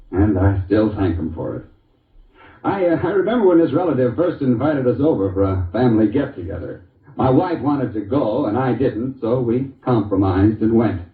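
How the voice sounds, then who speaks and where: An actor playing a genial, wry husband who tells a folksy, hesitant story in an old-time radio commercial. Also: male voice, lowish voice, far from the mic, close to an everyday voice. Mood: wry, amused. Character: genial, wry husband telling beer testimonial. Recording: muffled, echoey old radio recording.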